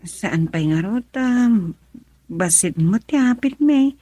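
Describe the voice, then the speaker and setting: A woman's voice: on the high side, expressive, slow pace, warm tone, no room sound. A woman acting a hard-up, apologetic farmer's wife and mother in an Ilocano radio drama, plaintive and hesitant. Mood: apologetic, weary.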